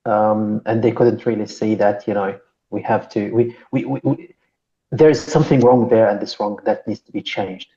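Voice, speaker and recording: A man talks in a low, mellow voice on a casual podcast panel. He weighs his words slowly, leaning on fillers and "right?" tags. The audio sounds slightly dull and muffled. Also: steady tone. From Syria. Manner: slow, thoughtful, full of fillers and tag questions. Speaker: podcast co-host.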